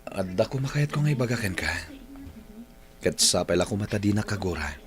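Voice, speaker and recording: A man's voice: fairly low pitch, very animated, brisk. A man playing an apologetic, subdued young boyfriend in a radio drama, with an Ilocano Filipino accent. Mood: regretful, apologetic. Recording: radio drama under clear music.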